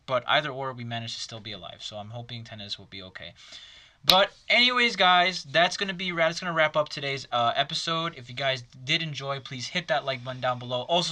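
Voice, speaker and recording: Bright-voiced rambling gaming host man. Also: medium pitch. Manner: rambling, full of "like" and "I mean". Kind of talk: casual let's-play commentary.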